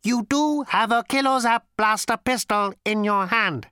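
A narrator voicing an ancient, cold, lifeless robot from a science fiction story, with a cultured rasp and a deliberate, literal delivery. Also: medium pitch, dry recording, studio quality, pitched above the performer's own voice. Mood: cold, implacable. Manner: flat, deliberate, menacingly literal.

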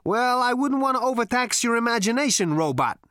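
A sneering science fiction space rogue, performed by an audiobook narrator, pitched well above ordinary speech. He talks in flippant slang with swaggering bravado. The recording is close and dry. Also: big pitch swings, unhurried, a bit bright, dry recording. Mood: sneering, cocky. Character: cocky, sneering space rogue with two heads.

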